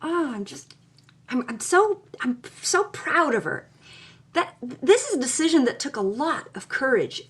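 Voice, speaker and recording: A woman's voice: high-pitched, very animated, unhurried. A woman hosting and narrating, reading a first-person memoir as dramatic storytelling. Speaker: host, narrator.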